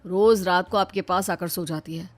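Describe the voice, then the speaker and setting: A woman's voice: on the low side, fairly even intonation, moderate pace, no echo, lightly acted. A woman voicing a young married man, a sulky and curt grown son, in a family story. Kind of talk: dramatized Hindi family storybook.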